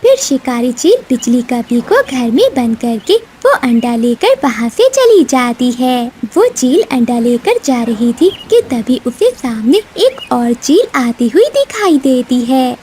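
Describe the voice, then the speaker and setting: A woman's voice: sits high, big pitch swings, unhurried, hardly any pauses, mellow, slight background noise. A woman narrating a scripted children's cartoon story.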